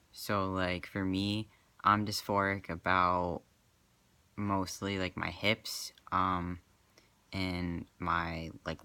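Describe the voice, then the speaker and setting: A young man in his twenties talks softly and haltingly, filling gaps with small pauses. His low, slightly crackly voice has a bright, clear edge. It sounds close and dry, with almost no room around it. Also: dry recording. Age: 20s. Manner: soft-spoken, hesitant, many fillers. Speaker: vlogger. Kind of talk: casual personal vlog.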